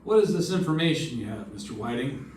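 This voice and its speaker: A man's voice: medium pitch, expressive, fairly quick, darkish tone, close to an everyday voice. A man playing a wry, skeptical hard-boiled investigator in an old-time radio detective drama. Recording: echoey room, distant mic, background noise.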